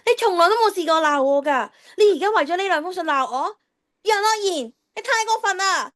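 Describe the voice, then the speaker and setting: A woman's voice: extremely high pitch, lively intonation, brisk, fairly bright tone. A woman playing a cheeky, defiant teenage schoolgirl in an audio drama, with a whiny, dramatic delivery. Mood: hurt, defiant. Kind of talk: Cantonese radio drama acting.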